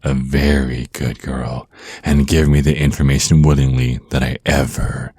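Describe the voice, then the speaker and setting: A man voicing a flirty, menacing vampire naga crime lord in an ASMR roleplay, smug and teasing, with deliberate, drawn-out threats. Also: very deep voice, flat delivery, dark tone, slightly rough. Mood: teasing, threatening.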